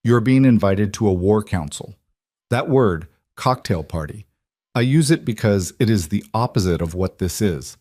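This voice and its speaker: A man doing a gravelly old crime boss. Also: lowish voice, normal speed, no room sound, studio quality. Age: 60s. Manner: calm, plain-spoken, lecturing. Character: older male crime boss, blunt and frank.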